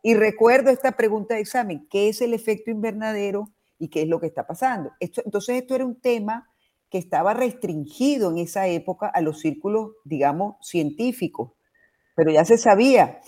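A woman's voice: medium pitch, brisk, warm tone. A Venezuelan woman in her sixties, a biologist, explaining things in a thoughtful, searching way as a radio interview guest. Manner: thoughtful, explanatory, with many restarts and "o sea" asides.

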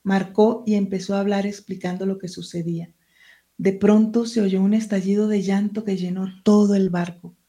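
A woman's voice: medium pitch, fairly even intonation, normal speed, dark tone. A woman, a writer and teacher, who speaks Spanish as her first language, answering interview questions in a hesitant, searching way and reading from her book.

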